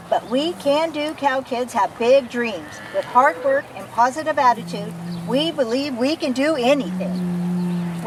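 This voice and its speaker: High-voiced woman reading a children's storybook, noisy recording. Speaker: storybook reader. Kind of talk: children's picture book read-aloud. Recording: noisy recording, distant from the mic.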